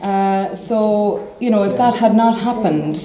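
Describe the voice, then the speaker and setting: Slow, hesitant Irish woman. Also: mid-range voice. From Ireland. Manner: soft, hesitant, many fillers. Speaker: guest. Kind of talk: casual conversation. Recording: echoey room with crowd chatter.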